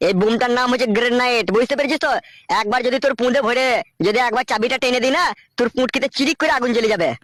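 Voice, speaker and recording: A man's voice: very high-pitched, fairly even intonation, rapid-fire, fairly bright tone, dry recording, clean studio sound. A man voicing a crude, swaggering neighbourhood thug in a comic cartoon dub, speaking. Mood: menacing. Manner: bullying, crude slangy street talk.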